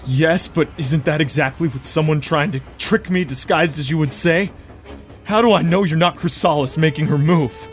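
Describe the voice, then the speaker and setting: A man's voice: a little high, normal speed, soft background music, close to an everyday voice. A man playing a paranoid, agitated pony prince who rants defiantly in a cartoon fan drama. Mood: wary, shaken. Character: frantic, paranoid pony prince firing magic at imagined foes. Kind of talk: animated fan audio drama acting.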